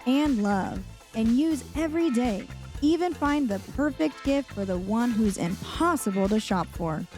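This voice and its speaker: Casting: woman playing a creepy salesy announcer, bright lively read. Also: a little high, measured pace. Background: music.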